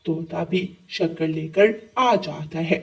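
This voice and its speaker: A woman's voice: low-pitched, slow pace, gravelly, breathy edge, distant mic. A woman giving scripted narration for a children's story.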